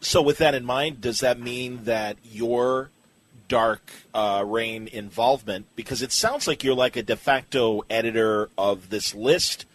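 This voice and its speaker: A man's voice: mid-range voice, unhurried. A man hosting a casual podcast interview, posing questions and giving short, agreeable acknowledgements.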